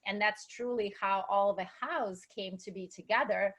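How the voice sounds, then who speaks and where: A woman's voice: mid-range voice, normal speed, fairly bright tone. A woman from Russia with a Russian accent, speaking as an entrepreneur guest in an interview in an enthusiastic, motivational way. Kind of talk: interview conversation.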